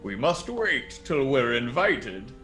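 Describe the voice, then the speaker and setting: An actor playing a cold, easily angered criminal in a radio play, speaking in a deliberate, sinister way. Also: male voice, medium pitch, very animated, a bit bright, faint music behind. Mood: cold, scheming. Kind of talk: old-time radio play acting.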